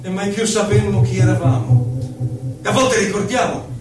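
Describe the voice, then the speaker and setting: A man's voice: high-pitched, fairly even intonation, moderate pace, background noise. A man giving a solemn, theatrical poetry recital for radio, stretching out his phrases dramatically. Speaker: poetry performer. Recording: echoey distant recital under loud music. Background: loud music.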